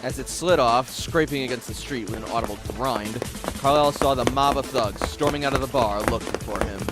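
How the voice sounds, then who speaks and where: A man's voice: mid-range voice, a little fast, over loud music. A man reading scripted story narration in a theatrical, wordy storytelling manner.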